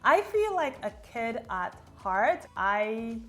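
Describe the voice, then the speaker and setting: Expressive woman vlogging. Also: on the high side, slow pace, faint music behind. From Trinidad and Tobago. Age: 30s. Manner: expressive, playful, reads questions aloud then answers. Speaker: beauty vlogger. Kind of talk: casual Q&A vlog.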